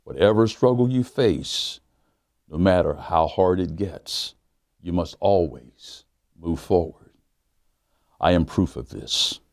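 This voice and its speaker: A man, a pastor, reading a children's book aloud in a measured, emphatic way with dramatic pauses. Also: low voice, expressive, a little mellow, no echo, studio quality. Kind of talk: storybook read-aloud for children.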